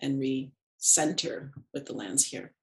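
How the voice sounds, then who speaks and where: A middle-aged woman with a low, gravelly voice speaks as a podcast guest. She talks in a thoughtful, unhurried way and laughs softly between thoughts. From United States. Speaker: podcast guest, animist and ritualist. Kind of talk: reflective conversational interview.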